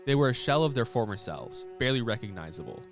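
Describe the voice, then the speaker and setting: A man hosting and narrating a sports audio documentary in a measured storytelling voice. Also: mid-range voice, animated. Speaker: podcast host and narrator. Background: music bed.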